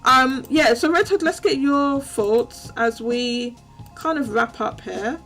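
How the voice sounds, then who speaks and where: A woman hosting a live call-in stream, chatty and upbeat, her voice going a little hoarse. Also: fairly high pitch, slow, a bit bright, soft background music. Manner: chatty, restarts often, upbeat. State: losing her voice. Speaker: host.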